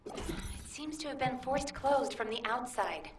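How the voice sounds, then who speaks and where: Support operator for a game: high voice, watchful, measured, precise, faint noise behind. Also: female voice, expressive, gravelly. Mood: analytical. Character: composed female mission operator, analytical and watchful. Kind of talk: video game support character acting. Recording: echoey, distant game audio, faint noise.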